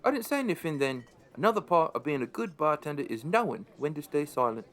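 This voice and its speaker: A man acts as a middle-aged pub landlord narrating his own tale in a radio play. His fairly high male voice is dry and understated, with the wry air of an unimpressed storyteller. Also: big pitch swings, fast, a bit bright. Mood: reflective, wry.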